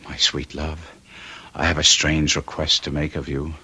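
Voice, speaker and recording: An actor playing a tormented young gentleman in an old-time radio drama, with intense, theatrical and formal archaic delivery. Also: extremely low pitch, completely flat delivery, normal speed, slightly rough, full character voice. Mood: urgent. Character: Guido, tormented young gentleman recounting a duel with a monster.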